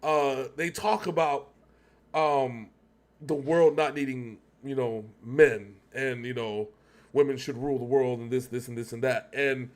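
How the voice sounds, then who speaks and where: A man with a notably high voice gives casual reaction commentary. He rambles, repeats himself to press his points and swears without holding back. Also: darkish tone. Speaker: reaction show co-host.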